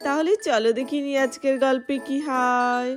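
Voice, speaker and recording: A woman's voice: high-pitched, level tone, normal speed. A woman storyteller narrating a children's doll story. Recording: music behind the voice.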